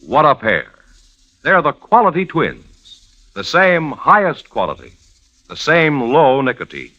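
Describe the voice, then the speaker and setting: A man's voice: medium pitch, sharp tone. An American man announcing an advertisement in the style of 1950s radio. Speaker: commercial announcer. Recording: muffled old radio broadcast recording.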